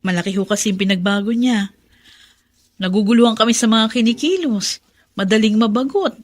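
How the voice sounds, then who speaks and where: A woman's voice: fairly high pitch, brisk, dry recording, natural voice. An actress playing a weary, dutiful middle-aged mother in a radio drama. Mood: worried, puzzled. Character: weary dutiful mother caring for her ageing father.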